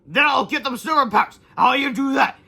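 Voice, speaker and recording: A man's voice: extremely high pitch, a little fast, gravelly, bright, forceful tone, voice pushed up, exaggerated voice. A man voicing scheming villain boys in a children's storybook read-aloud. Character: scheming cartoonish villain boys from a backward universe.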